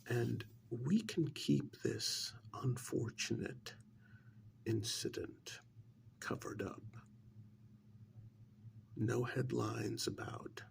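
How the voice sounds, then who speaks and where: A man playing a sinister, menacing agent in an ASMR role-play, speaking softly in a breathy, gravelly half-whisper with deliberate pauses. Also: mid-range voice, steady tone, a little hiss or hum, exaggerated voice. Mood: smug, menacing. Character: sinister male agent coolly interrogating and blackmailing a mad scientist. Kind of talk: soft-spoken ASMR role-play monologue.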